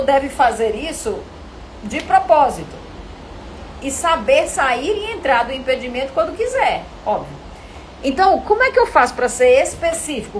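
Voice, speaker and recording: Brazilian woman teaching live, higher-pitched voice, noisy background. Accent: Brazilian Portuguese. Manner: animated, didactic, asks and answers own questions. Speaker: acting coach teaching a live online class. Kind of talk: informal live lesson, chatting with viewers.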